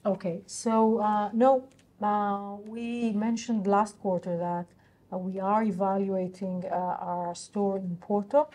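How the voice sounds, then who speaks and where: A woman speaks as a company executive on an investor call. Her voice is mid-pitched and soft-edged, with a mellow tone. She explains in a businesslike but halting way, feeling her way through each answer. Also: fairly even intonation. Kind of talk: unscripted earnings call answers.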